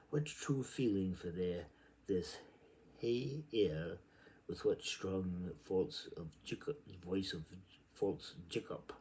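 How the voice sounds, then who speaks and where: A man giving a theatrical, halting reading of literary prose, repeating words and shifting between murmurs and sudden emphasis. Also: low voice, mellow. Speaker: reader. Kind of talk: dramatic amateur literary reading aloud.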